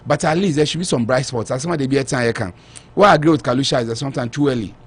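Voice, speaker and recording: A man's voice: medium pitch, very fast, husky. A man giving emphatic, critical sports analysis as a radio guest.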